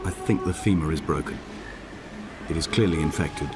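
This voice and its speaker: An actor playing a lonely, injured castaway who narrates a game in grave, measured, poetic monologue. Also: male voice, a bass voice. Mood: pained, resigned. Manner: solemn, measured, literary phrasing. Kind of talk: scripted dramatic monologue, literary narration. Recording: loud music behind the voice. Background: music.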